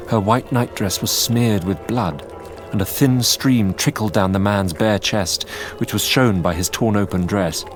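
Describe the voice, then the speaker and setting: Man playing a low-voiced, grave Victorian narrator. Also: close to an everyday voice. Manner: grave, deliberate, short phrases. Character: earnest Victorian male doctor recounting horrors. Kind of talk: dramatic scripted narration in a radio drama. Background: music.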